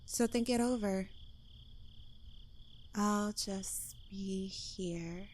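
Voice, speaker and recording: Woman acting a slow, smug yandere witch girlfriend. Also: mid-range voice, a little mellow. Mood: smug, playful. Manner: teasing, smug, drawling, dropping into whispers. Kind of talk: intimate ASMR roleplay addressed to the listener.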